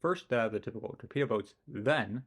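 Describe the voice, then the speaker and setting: A man narrates history from a script at a middle pitch, mixing the events with blunt opinions of his own. Now and then he breaks off to poke fun at his own pronunciation. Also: expressive, brisk, slightly rough. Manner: opinionated, with self-mocking asides.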